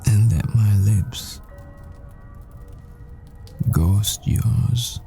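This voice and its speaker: Man acting a slow, tender, gravelly boyfriend. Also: fairly low pitch, over music, full character voice. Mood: tender, teasing. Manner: breathy, halting, intimate murmuring. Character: desperate tender boyfriend, a math professor, facing the world's end. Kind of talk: intimate ASMR boyfriend roleplay.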